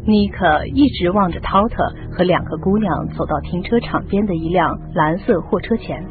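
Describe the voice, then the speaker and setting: A woman's voice: lowish voice, moderate pace. A woman reading scripted narration as the narrator of a radio mystery drama. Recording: muffled lo-fi radio drama, music behind.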